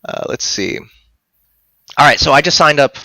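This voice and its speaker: A man's voice: fairly high pitch, takes it slowly, creaky, pushed and bright, dry recording. A man in his thirties chatting casually as a guest on a live stream, speaking into a headset. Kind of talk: casual chat. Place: room at home, video call.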